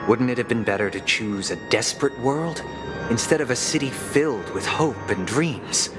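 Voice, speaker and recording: A man's voice: medium pitch, expressive, normal speed, lightly acted. An actor playing a composed young man, a devoted disciple with a polite, restrained manner, in dramatic game voice acting. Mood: restrained, questioning. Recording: loud music over the voice.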